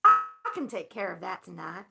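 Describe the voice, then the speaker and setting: A woman voicing a dainty, indulgent reverend's wife in a comedic fan fiction reading, gentle and motherly. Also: fairly low pitch, sing-song, normal speed, gravelly, voice pushed down, full character voice.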